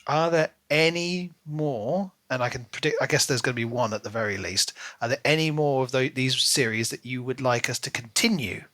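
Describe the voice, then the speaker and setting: Podcast guy, bright forward voice, casual book chat, really dry close sound. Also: medium pitch, lively intonation, normal speed, few pauses, no echo. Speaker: podcast co-host.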